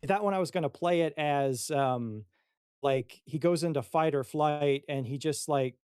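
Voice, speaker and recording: A man chatting casually as a player creating a character, tentative and halting in how he talks. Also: on the high side, a little mellow, clean tone, dry recording, clean studio sound. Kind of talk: casual chat.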